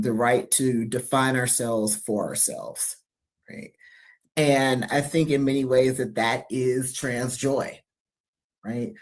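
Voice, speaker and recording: A woman from New York City speaking on a university panel, halting and reflective with many fillers. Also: rumbling low. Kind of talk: panel talk at a university event.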